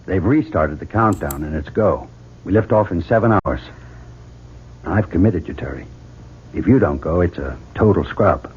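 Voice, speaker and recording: Husky, low-voiced American astronaut commander. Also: male voice, animated, normal speed, full character voice. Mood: brisk, resolute. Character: husband astronaut commander, confident, pleading, steadying. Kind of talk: old-time radio drama acting. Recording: dull vintage radio drama recording.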